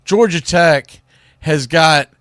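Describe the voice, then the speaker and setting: Informal male sports talk host, slightly higher pitch. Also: slow, a bit bright, dry recording, clean studio sound. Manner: informal, opinionated. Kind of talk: solo sports talk commentary.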